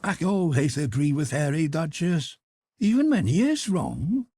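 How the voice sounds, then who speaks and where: A man reading a novel aloud, voicing a charming, gallant young gentleman. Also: fairly high pitch, big pitch swings, unhurried, husky, dry recording, studio quality, pitched above the performer's own voice, full character voice.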